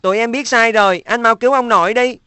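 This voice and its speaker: A woman's voice: fairly high pitch, fast talker, pushed and bright, clear, resonant tone, no room sound. A woman voicing an earnest, respectful young boy in a cartoon dub. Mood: pleading. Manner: earnest, childlike.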